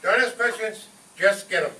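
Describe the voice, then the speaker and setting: A man's voice: high voice, moderate pace, sharp tone. A man playing a hot-headed, scheming father in an old-time radio comedy, pompous, indignant and theatrical. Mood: vengeful, bossy. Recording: roomy distant stage recording, faint noise.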